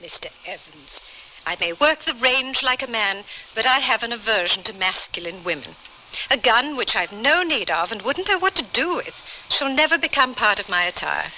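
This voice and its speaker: A woman's voice: fairly high pitch, very animated, moderate pace, pushed and bright, exaggerated voice. An actress playing a prim, strong-willed, pious lady adventurer with formal, genteel speech in an old radio drama. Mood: proud, defiant. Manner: formal, genteel, precise phrasing. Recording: noisy old radio recording.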